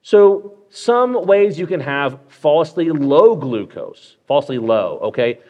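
A man's voice: on the high side, animated, takes it slowly, muffled recording. A man hosting a live medical podcast. He walks through clinical cases in a conversational way, with lots of fillers and check-ins with the listener.

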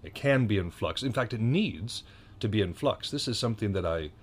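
A man's voice: lowish voice, expressive, a little fast, a little hiss or hum. An American man hosting a solo podcast, talking casually and rambling with self-deprecating asides and frequent restarts. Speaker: podcast host. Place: indoors at home.

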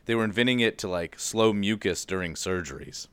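A man's voice: lowish voice, lively intonation, fairly quick, a bit bright, smooth, no echo. A man co-hosting a podcast, explaining his research in an informal, loose, self-deprecating way with mild slang. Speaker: podcast co-host presenting research. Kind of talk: casual conversational explaining.

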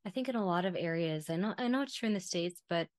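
A middle-aged woman hosting a podcast, asking long, thoughtful questions in a relaxed conversational way. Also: fairly low pitch, fast, no echo. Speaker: podcast host. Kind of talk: conversational interview.

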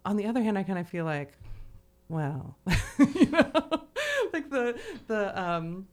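A woman's voice: mid-range voice, sing-song, a little slow. A woman hosting a podcast, discussing literature casually in a conversational way peppered with "like" and "you know". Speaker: podcast host.

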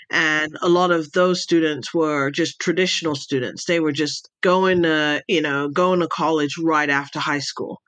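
A middle-aged woman speaks in a bright, forward voice with an accent that sits between British and American. She is recorded close and dry. Her delivery is casual and chatty, like a guest telling her own story with plenty of asides. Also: deep voice, no echo. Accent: mixed British and American. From raised between the Middle East, Europe and the United States. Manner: chatty, digressive. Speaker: podcast guest, intelligence advisor and speaker.

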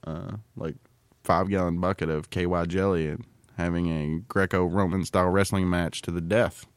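A man's voice: low-pitched, flat delivery, moderate pace, clear, resonant tone, no room sound. A man hosting a podcast in casual banter, with a teasing, mock-aggrieved manner. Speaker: podcast host.

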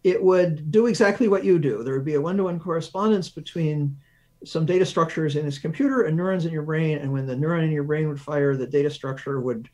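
A man being interviewed as a research physicist, explaining ideas through examples with thoughtful pauses. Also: fairly high pitch, a little mellow.

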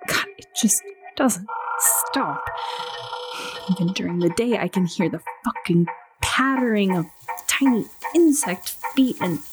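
An actress playing an anxious, sleepless young woman who talks in a hushed, exasperated way and often whispers. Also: medium pitch, a little slow, over music, natural voice, rough recording. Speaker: Christine, anxious girlfriend. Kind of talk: audio drama acting.

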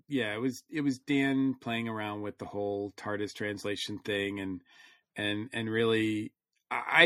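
A man with a fairly low voice, recorded close and dry. He speaks as a podcast panelist in a casual review discussion, working out his thoughts aloud in a rambling way. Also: fairly bright tone, no echo.

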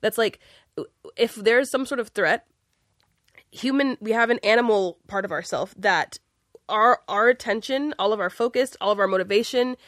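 A woman's voice: fairly high pitch, moderate pace, fairly bright tone, no echo, studio quality. A woman in casual conversation, explaining earnestly in bursts with fillers.

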